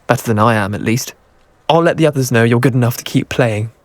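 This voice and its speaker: A man's voice: mid-range voice, fast, no room sound, lightly acted. A man playing a competitive, cocky teenage wizard in a video game, with a British accent and a declamatory manner. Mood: grudging. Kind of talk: video game cutscene acting.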